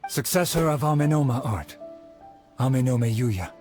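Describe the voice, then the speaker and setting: A man voicing a dignified heir of a sword art in a video game, speaking formally and in measured tones. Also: medium pitch, lively intonation, a little mellow, faint music behind, lightly acted.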